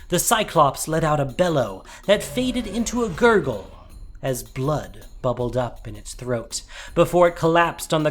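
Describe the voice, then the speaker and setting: A narrator reading a scripted dramatic fantasy story, with music behind. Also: mid-range voice.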